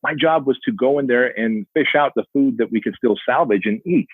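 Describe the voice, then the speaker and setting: Husky forties guy, armenian first language, earnest storyteller, phone-ish dry sound. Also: mid-range voice, brisk, dry recording. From Soviet Union, Armenian family. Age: 40s. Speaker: podcast guest. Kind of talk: storytelling interview. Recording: dry tinny phone-like line.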